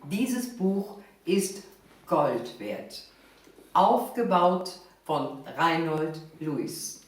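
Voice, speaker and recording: An older woman hosts a relaxed conversation with emphatic phrasing and frequent agreement. The room is very reverberant, and her voice sits some way from the microphone. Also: lowish voice, very slow. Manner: emphatic, frequently affirming the guest. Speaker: host. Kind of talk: casual chat, hosting. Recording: very echoey room, distant mic.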